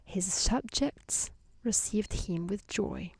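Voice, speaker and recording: A woman reading a fairy tale in a soft, breathy, near-whispered ASMR style with a gentle, soothing manner. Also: medium pitch, slow.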